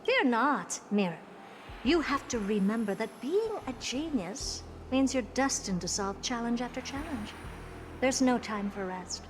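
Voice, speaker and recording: A woman's voice: on the high side, expressive, a little slow, noisy background. A woman playing a condescending, self-assured genius sorceress in a video game, with theatrical delivery. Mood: haughty. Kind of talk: video game character acting. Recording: game music behind the voice.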